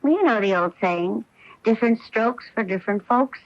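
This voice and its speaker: An actress playing a sweet, self-assured and determined young wife in a radio mystery drama. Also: female voice, on the high side, moderate pace, no echo, natural voice. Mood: playful, candid. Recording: dull lo-fi AM radio broadcast.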